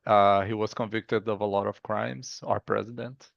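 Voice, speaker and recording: A man with a Brazilian accent, a guest in a casual interview, who talks haltingly and often restarts with "like". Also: fairly low pitch, level tone, gravelly, no room sound, lo-fi sound. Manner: halting, stops and restarts, says 'like' often. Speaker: guest artist.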